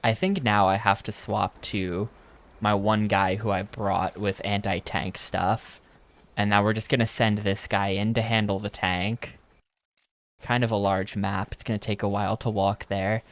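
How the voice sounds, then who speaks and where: A man's voice: on the low side, little pitch movement, unhurried. A young man from the United States commenting casually on a game as he plays, with dry, joking asides. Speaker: video game streamer.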